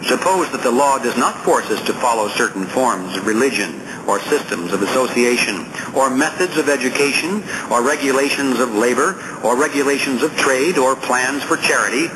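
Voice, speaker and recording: A man reads a political essay aloud as an audiobook narrator, in a deliberate, emphatic, oratorical manner. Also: mid-range voice, expressive. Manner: deliberate, emphatic oratorical reading with rhetorical questions and lists. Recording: clearly noisy audiobook recording.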